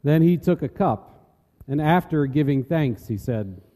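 Very mellow male narrator in echoing hall. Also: a little high, lively intonation, unhurried. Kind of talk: scripted scripture narration. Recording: echoey hall with long reverb.

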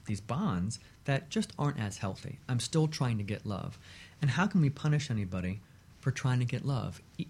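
A man speaking conversationally as a therapist guest on a talk show, with measured, reflective phrasing. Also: medium pitch, gravelly, warm tone, slightly rough. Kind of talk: conversational discussion of relationships.

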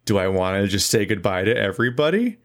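A man's voice: fairly low pitch, very animated, fast. A man from California, chatting casually in a group, rambling with many restarts.

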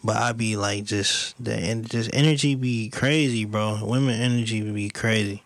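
A man with a deep voice in relaxed casual chat, drawling in slang and saying bro a lot. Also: moderate pace, no room sound.

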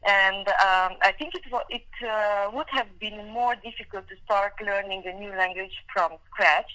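A woman's voice: medium pitch, unhurried, bright, forceful tone. A woman from Romania whose first language is Hungarian, a dentist answering interview questions over a call, speaking carefully with hesitant fillers. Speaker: dentist guest. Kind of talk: remote podcast interview. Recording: tinny phone-call line.

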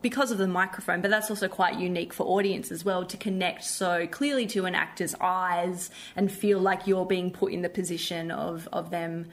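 A woman's voice: lowish voice, steady tone, moderate pace, hardly any pauses, a little hiss or hum. A woman with an Australian accent chatting casually and reflectively as a theatre director being interviewed.

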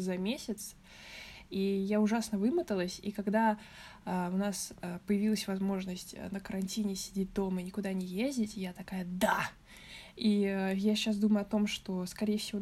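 Young female guest, relaxed and talkative, medium voice. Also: medium pitch. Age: young adult. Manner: chatty, relaxed, laughs easily. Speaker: podcast guest. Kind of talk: casual chat.

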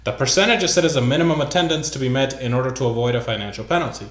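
A man's voice: medium pitch, racing, roomy sound. A man hosting a casual sports podcast, reading news items aloud with loose asides. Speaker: podcast host.

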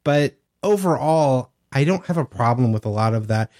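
A man with an average-pitched voice speaks as a podcast co-host. His delivery is measured and agreeable, in the style of a casual discussion among regulars. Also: lively intonation, a little mellow.

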